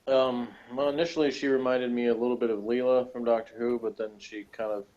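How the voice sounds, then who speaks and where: A man's voice: mid-range voice, little pitch movement, brisk, darkish tone. A man chatting casually as a guest reviewer, hesitant, rambling and flippant, on dull lo-fi audio. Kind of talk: casual chat.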